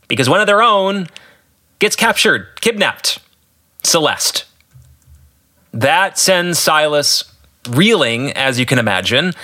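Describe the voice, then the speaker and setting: A man's voice: a little high, pushed and bright. A man with an American accent giving conversational commentary on his own story as a podcast host and author, with emphatic, storyteller-like phrasing. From West Michigan, United States.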